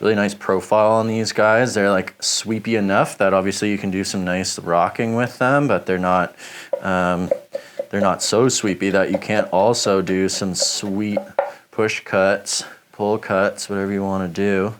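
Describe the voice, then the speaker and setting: A man giving a relaxed, chatty review, rambling with lots of fillers as he chops. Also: on the low side, unhurried, slight background noise. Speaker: knife shop reviewer. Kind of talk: casual product review while chopping.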